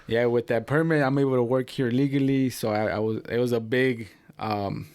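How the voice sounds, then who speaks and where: A man's voice: mid-range voice, steady tone, darkish tone, no room sound. Easygoing man in his twenties, from Mexico. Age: 20s. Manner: easygoing, laughs often, halting restarts. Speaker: podcast guest. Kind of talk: casual chat.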